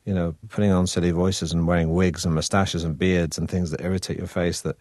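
A man's voice: low-pitched, little pitch movement, brisk, darkish tone, no room sound, clean studio sound. A man with a Manchester accent, an actor chatting as an interview guest in a wry, hesitant way full of restarts. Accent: Manchester (northern English). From Manchester, England. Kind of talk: relaxed interview conversation.

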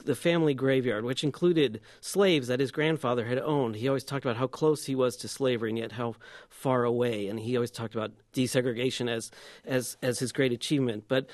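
Male radio guest, dry studio sound, mid-pitched, hesitant and full of fillers. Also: dry recording. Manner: halting, many fillers and restarts. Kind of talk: remembrance in a radio interview.

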